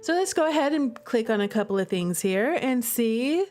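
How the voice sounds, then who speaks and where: A woman's voice: a little high, expressive, normal speed, darkish tone. A woman streamer chatting casually and playfully through commentary on her own game, stressing her points with little tag questions. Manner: playful, giggly, emphatic; repeats "okay?" and "all right?" as tags. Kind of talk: casual livestream commentary and chat. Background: background music.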